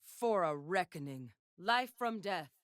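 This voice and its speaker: A woman's voice: lowish voice, lively intonation, takes it slowly, dry recording. A woman playing a battle-hardened, wry and defiant young gunslinger in a video game, speaking in a dry, clipped, commanding way. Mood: wry teasing. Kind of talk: video game character voice lines.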